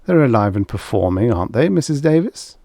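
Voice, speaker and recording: A man's voice: medium pitch, sing-song, brisk, gravelly, warm tone, no echo, studio quality. A man voicing a smug, condescending male official in an audiobook, soothing and confidential. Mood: patronizing. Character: smug, patronizing pipe-smoking official humoring a woman. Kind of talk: audiobook character voice.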